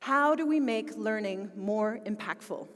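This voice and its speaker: A woman's voice: medium pitch, a little slow. A woman in her late forties from Philadelphia, with a Philadelphia accent, giving an enthusiastic conference talk full of fillers. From Philadelphia, United States. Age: 40s. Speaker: conference speaker. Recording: long hall reverb.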